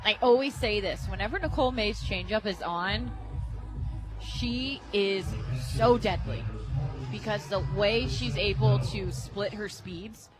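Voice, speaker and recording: An American woman gives color commentary from a ballpark radio booth at a medium pitch. She is conversational and amused, mixing analysis with stories, and music plays clearly behind her. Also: a little slow, rough recording. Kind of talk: conversational sports analysis and anecdotes.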